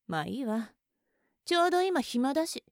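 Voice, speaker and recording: A woman's voice: high-pitched, big pitch swings, moderate pace, no echo, studio quality. A woman playing a scornful, bossy young woman. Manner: contemptuous, mocking, bossy. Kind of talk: adult audio roleplay.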